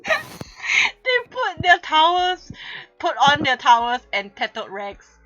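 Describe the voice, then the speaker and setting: A woman's voice: sits high, very animated, slow pace, sharp tone, lo-fi sound, faint music behind. A woman reading fan fiction aloud with comic drama and casual chat, giggling and stumbling over her words. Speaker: streamer hosting a fanfic reading.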